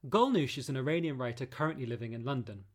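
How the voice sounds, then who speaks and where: A man hosting a literary podcast, introducing a guest and then asking soft, hesitant follow-up questions. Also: medium pitch, animated, fast talker, soft background music. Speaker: podcast host.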